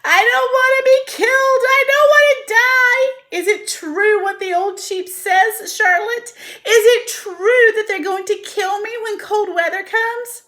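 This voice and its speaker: A woman voicing a young male pig who is panicky and tearful, wailing and sobbing in a high voice. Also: measured pace, bright, forceful tone, full character voice.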